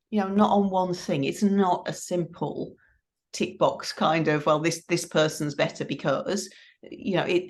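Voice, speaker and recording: A middle-aged woman answering interview questions in a considered, explanatory way, repeating points for emphasis. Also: on the low side. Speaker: interview guest, teacher trainer.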